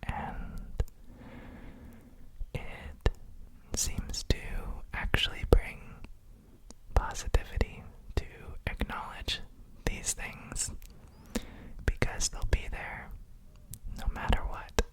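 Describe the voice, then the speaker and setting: Female ASMR guide: all whisper, extremely slow and unhurried, breathy and soothing, for sleep relaxation. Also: low-quality recording. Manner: gentle, soothing, unhurried with frequent pauses. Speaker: ASMR host guiding relaxation.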